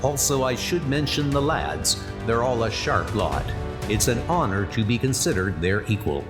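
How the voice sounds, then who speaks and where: An actor voicing an earnest, dutiful young secret service trainee, narrating his letters in a measured, formal way. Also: medium pitch, very animated, music in the background, close to an everyday voice. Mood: earnest, reflective. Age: young adult. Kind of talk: letter read as narration in a comic dub.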